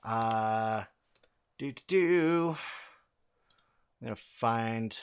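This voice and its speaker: Laid-back man with a low bassy voice. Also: smooth. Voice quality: fairly low voice with a lot of bass. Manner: casual and halting, many fillers and restarts. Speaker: livestream host answering chat questions. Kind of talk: casual livestream Q&A.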